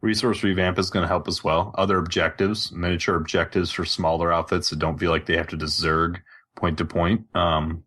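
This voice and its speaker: A man's voice: low voice, level tone, brisk, a bit bright, slightly rough. A man hosting a casual gaming podcast, chatting informally. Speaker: podcast host.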